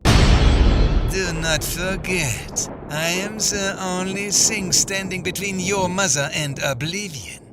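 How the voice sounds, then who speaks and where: A man voicing a haughty, menacing older villain in a fantasy game fan dub, gravelly and theatrically pompous. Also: sits high, slow, loud music behind the voice. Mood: menacing, indignant.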